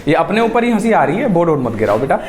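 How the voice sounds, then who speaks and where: A man's voice: fairly high pitch, sing-song, very fast. A man with an Indian accent teaching public speaking to a class, instructive, emphatic and encouraging, switching between Hindi and English. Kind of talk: classroom lesson with demonstrations. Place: classroom in front of students. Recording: roomy echo with faint music.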